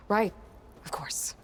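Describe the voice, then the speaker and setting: An actress playing a blunt, wary, determined young female warrior in a video game, with a sardonic, challenging, guarded manner. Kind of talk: video game cutscene acting.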